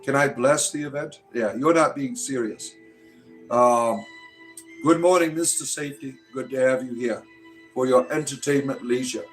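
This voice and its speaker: Casual male stream host in his fifties, rambles and jokes, soft music in the background. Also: medium pitch, moderate pace, poor audio. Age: 50s. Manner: rambling, joking, full of "uh" and "right?". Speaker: livestream host. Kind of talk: casual live-chat talk answering viewers.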